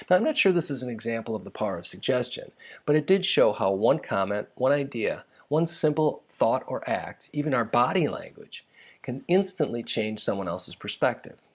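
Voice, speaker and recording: A man's voice: mid-range voice, slightly rough. A man reads his own humorous first-person essay in a wry, conversational, self-deprecating way.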